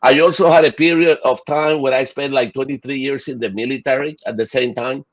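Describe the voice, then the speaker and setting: A man's voice: medium pitch, steady tone, fairly quick. A middle-aged man from Chile and Rico, talking enthusiastically as an interview guest with many fillers and restarts. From Chile and Puerto Rico. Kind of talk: casual interview. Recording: dull lo-fi audio.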